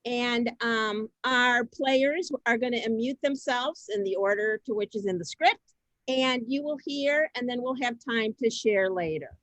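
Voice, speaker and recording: A woman moderates a group gathering in a fairly high voice. Her phrasing is hesitant and improvised as she steers things along. The recording is dry and free of room sound. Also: unhurried, a bit bright, no room sound, poor audio. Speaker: host of an online group event. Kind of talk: informal moderating.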